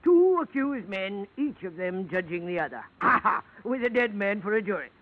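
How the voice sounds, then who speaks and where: High-pitched, shifty salvage dealer, florid mock courtesy, vintage radio drama, muffled recording. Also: male voice, big pitch swings, normal speed. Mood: amused, theatrical. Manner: florid, mock-polite, chuckling, orotund phrasing. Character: courtly, shifty ship-salvage man in a sloppy suit. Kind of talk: 1940s radio detective drama acting. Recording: dull, band-limited old radio recording.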